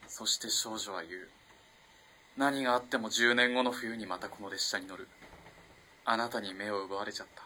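Bright, low-voiced earnest student. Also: male voice, steady tone, slight background noise. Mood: storytelling. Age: young adult. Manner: polite, measured, musing. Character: polite, earnest young male student. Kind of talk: radio drama acting, storytelling.

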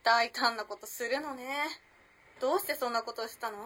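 Woman acting poised, high-voiced enigmatic young lady. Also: a little fast, pushed and bright. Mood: cool, curious. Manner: cool, feminine old-fashioned politeness, playful. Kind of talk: Japanese radio drama acting.

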